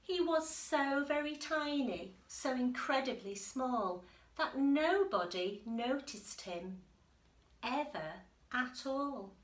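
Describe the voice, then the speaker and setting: A woman reading a children's picture book aloud like an infant school teacher, animated and emphatic, with chatty asides. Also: high-pitched. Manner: animated, deliberate storyteller with emphatic delivery. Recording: roomy, echoey indoor sound.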